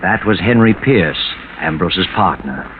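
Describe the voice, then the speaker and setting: Ominous night-walking storyteller, very deep voice, 1940s radio thriller, narrow old broadcast sound. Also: male voice, lively intonation, unhurried, background noise. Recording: muffled old 1940s radio, loud music.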